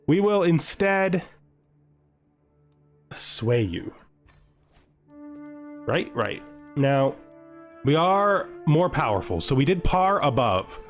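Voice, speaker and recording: A man giving casual, jokey let's-play commentary on a strategy game, thinking aloud and often putting questions to himself. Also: on the high side. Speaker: gaming video host. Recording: background music under the voice. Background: music.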